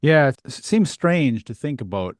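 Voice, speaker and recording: A man's voice: medium pitch, sing-song, normal speed, dry recording, studio quality. A man hosting a conversational interview in a reflective way, often turning statements into questions. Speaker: podcast host.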